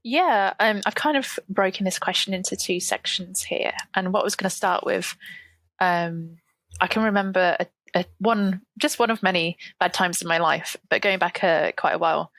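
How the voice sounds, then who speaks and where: A woman on a gaming podcast panel, chatting casually and rambling a little, her voice going hoarse. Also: mid-range voice, moderate pace, a bit bright, no echo. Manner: rambling and self-deprecating, with frequent fillers. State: losing her voice.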